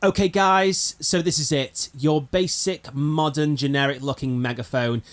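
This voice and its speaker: Higher-voiced man, informal explainer. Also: fairly even intonation, measured pace. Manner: conversational, addresses viewers directly. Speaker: video presenter of a gaming concept. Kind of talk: informal explainer narration of a game item idea.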